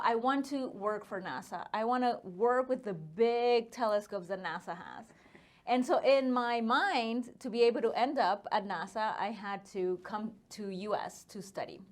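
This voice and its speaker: A woman in her thirties from northern Mexico, with a Mexican accent, talking casually as an interview guest with frequent fillers. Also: medium pitch. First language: Spanish. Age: 30s. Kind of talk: casual conversational storytelling.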